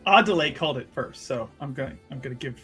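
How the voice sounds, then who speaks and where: Guy at a game table, bright voice, wry n measured, faint music behind. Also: medium pitch, animated, slightly rough. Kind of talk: casual in-game discussion.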